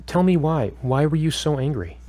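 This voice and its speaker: A man acting as a calm, firm, probing therapist in a scripted audio drama, with a measured, instructive and questioning way of talking. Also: a little high, lightly acted. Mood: attentive, probing.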